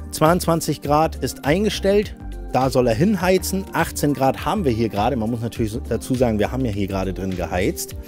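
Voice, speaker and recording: Mellow middle-aged man. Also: medium pitch. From northern Germany. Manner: informal, jokey, thinking aloud. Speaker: camping video host. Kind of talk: casual hands-on product test chat. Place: camping awning, outdoors and inside the tent.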